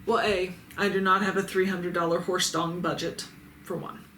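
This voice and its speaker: A woman's voice: fairly low pitch, little pitch movement, normal speed, a bit bright, a little hiss or hum. Blunt, sardonic Midwestern woman, roomy sound. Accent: Midwestern American. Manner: blunt, sarcastic and profane. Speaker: adult toy reviewer and YouTuber. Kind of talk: casual comment-reading vlog. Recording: roomy echo with faint ambience.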